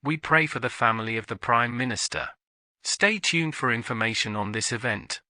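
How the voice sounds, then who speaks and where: A man delivers scripted emergency bulletins as a television continuity announcer. His voice is bright and forceful at a middle pitch, with a formal, solemn delivery. The sound is close and dry. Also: lively intonation, moderate pace, dry recording.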